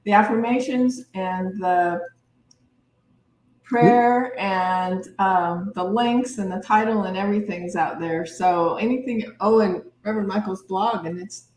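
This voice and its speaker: A woman's voice: medium pitch, a little slow, darkish tone. Woman making announcements, echoey room. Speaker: host giving service announcements. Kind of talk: informal announcements on a livestream. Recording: roomy, echoey livestream with faint noise.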